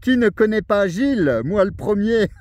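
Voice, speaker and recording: A man's voice: high voice, expressive, moderate pace, darkish tone. A man talks casually as an amateur radio operator. His sound is dry, with very little echo around it. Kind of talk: casual radio contact chat. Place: outdoors on a mountain, over a thousand metres.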